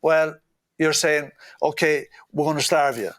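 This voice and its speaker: A man's voice: steady tone, measured pace, a bit bright. A man as a guest politician in an interview, blunt and indignant, full of "uh" fillers. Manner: blunt, indignant, many "uh" fillers, mocking quotes in a higher voice. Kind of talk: impassioned interview answers.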